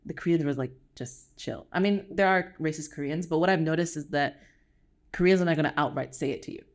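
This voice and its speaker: A woman's voice: deep voice, brisk. A woman with an American accent chatting casually as a podcast guest, in a conversational way. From United States. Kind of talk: casual chat.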